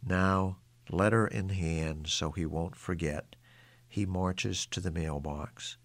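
A man's voice: low voice, fairly even intonation, slow pace, vocal fry, no echo. A man reading his own literary short story aloud as a scripted narration.

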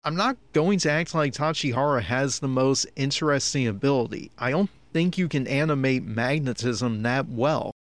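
A man's voice: mid-range voice, normal speed, few pauses, no echo. A man giving opinionated review commentary in a critical, nitpicky, exasperated manner. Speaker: podcast host giving episode commentary.

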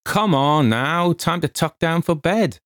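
A man playing a protective father in an audio story, brisk and casual as he keeps his family calm. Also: a little high, no room sound, clean studio sound, voice pushed up. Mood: forced cheer. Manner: brisk, reassuring, forcedly casual.

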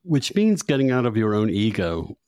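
A man with a fairly low voice hosts a casual interview. He thinks aloud haltingly, repeating words while he works toward his point. The recording is close and dry. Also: very animated, a little mellow, clear, resonant tone, dry recording. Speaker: podcast host.

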